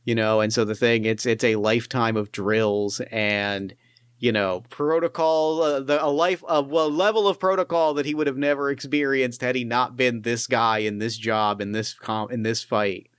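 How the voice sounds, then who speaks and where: A man running a solo tabletop game, narrating his pilot's story in a reflective, halting way. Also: medium pitch, a bit bright, smooth, dry recording, studio quality. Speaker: game master.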